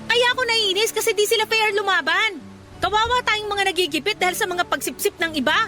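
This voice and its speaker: A woman's voice: very high-pitched, fairly quick, sharp tone, soft background music. An actress playing a frustrated, principled female office worker, complaining emphatically in a Filipino radio drama.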